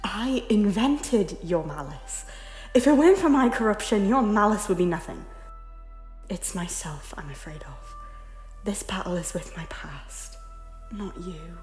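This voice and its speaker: A woman playing a guilt-ridden but defiant noble lady in an audio drama, with an intense, theatrical and often breathy delivery. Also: mid-range voice, expressive, a little slow, darkish tone, faint music behind, close to an everyday voice. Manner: theatrical, breathy whispers breaking into intense declarations. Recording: echoey hall with long reverb.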